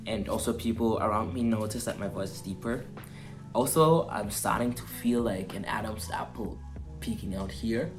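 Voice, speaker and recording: Young male vlogger, casual rambling delivery, somewhat low pitch, guitar bed. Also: normal speed. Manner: relaxed, rambling, many fillers and restarts. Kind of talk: casual personal update to camera. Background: soft guitar music.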